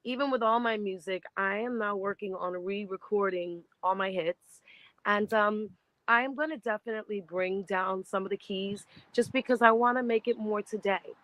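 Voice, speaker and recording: A woman in her fifties, a singer being interviewed, chatting in an animated way and laughing easily. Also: medium pitch, normal speed, no room sound, low-quality recording. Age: 50s. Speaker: guest singer. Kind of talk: casual interview chat.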